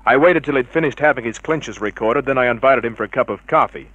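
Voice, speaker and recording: An actor playing a cynical, unflappable private detective, terse and dry, in vintage radio drama style. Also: male voice, medium pitch, fast talker, fairly bright tone, no room sound, highs cut off, natural voice. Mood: hard-boiled narrating. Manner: terse, hard-boiled, dry. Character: cynical, unflappable male private detective narrating his case.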